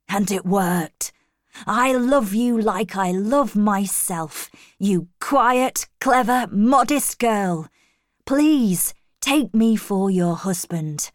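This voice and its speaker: Storybook romantic lead read by a woman: commanding gentleman, slow, intense, earnest, expressive pitch. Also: no room sound, studio quality. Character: ardent, commanding gentleman master in love.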